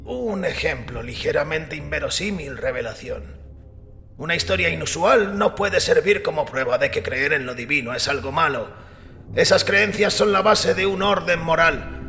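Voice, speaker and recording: A man playing a devout, defensive priest who argues earnestly in a gravelly voice, in a dramatized audiobook. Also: on the high side, animated, normal speed, pushed and bright. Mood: earnest defence. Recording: long reverberant hall with music behind. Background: music.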